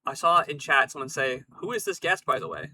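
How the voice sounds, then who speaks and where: A man hosting a live stream, slightly hoarse, talking casually and thoughtfully with many fillers. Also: mid-range voice, very animated, fairly quick, sharp tone, slight background noise, rough recording. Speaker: stream host.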